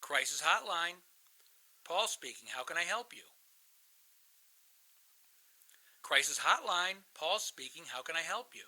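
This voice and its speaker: A man's voice: on the high side, lively intonation, moderate pace, pushed and bright, full character voice. An actor playing a bitter, sardonic man in a radio play, blunt and sarcastic. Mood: smug, cool. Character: bitter, sardonic man, crisis counselor posing as suicidal caller.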